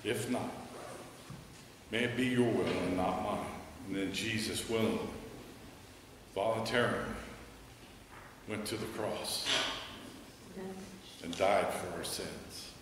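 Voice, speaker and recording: Earnest middle-aged American pastor preaching. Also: male voice, lowish voice, slow, pauses often, noisy background. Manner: earnest, emphatic preaching, softening to a hushed prayer. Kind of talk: sermon and altar call. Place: church sanctuary, standing at a pulpit. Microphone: headset mic. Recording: echoey church hall, from a distance.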